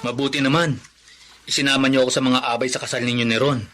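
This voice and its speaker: A man's voice: mid-range voice, level tone, fast, fairly bright tone, faint music behind, close to an everyday voice. A man playing a teasing cheerful cousin. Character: cheerful joking young male cousin. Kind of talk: classic radio drama acting.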